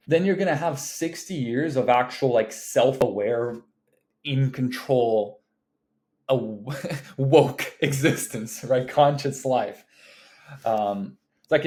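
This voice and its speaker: Rambling casual young man, mid pitch. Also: darkish tone. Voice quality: rambling, heavy use of fillers like "like" and "um", swears casually. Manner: laughs between thoughts. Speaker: video creator. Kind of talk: casual talking-to-camera vlog.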